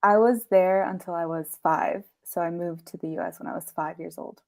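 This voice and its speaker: A woman's voice: lowish voice, normal speed. A young woman who grew up in the US, chatting as a guest in an easy, friendly way with small laughs. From Peru, grew up in the US. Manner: friendly, laughs between phrases, relaxed. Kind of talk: casual interview chat.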